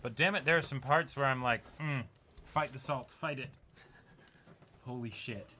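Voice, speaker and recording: A man's voice: medium pitch, moderate pace, pushed and bright. A young man co-hosting an unboxing video in informal, casual chat. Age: young adult. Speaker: co-host of an unboxing show. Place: indoor room, seated before a backdrop.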